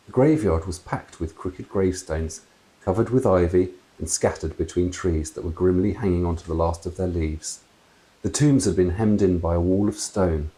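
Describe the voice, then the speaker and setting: A man in his fifties reading a children's story aloud in an expressive storytelling style. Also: low-pitched, rough recording. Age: 50s. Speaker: author reading own book aloud. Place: small room with wooden door and brick wall. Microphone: clip-on lapel mic.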